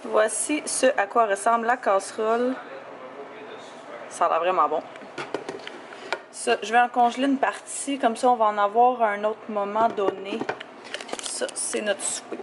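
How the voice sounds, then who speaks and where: A woman's voice: on the high side, moderate pace, pauses often, roomy sound, noisy background. A young woman in her twenties with a Quebec French accent, chatting casually to a handheld camera at home and in her car. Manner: chatty, relaxed, fillers like "fait que". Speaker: vlogger. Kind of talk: casual vlog chat. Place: home kitchen and parked car. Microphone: handheld camera at arm's length.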